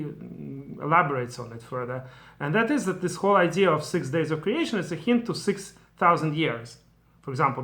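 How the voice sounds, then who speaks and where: Man teaching, bright voice. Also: on the high side. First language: Russian. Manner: digressive, thinking aloud with asides. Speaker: Torah teacher. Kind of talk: explanatory lecture.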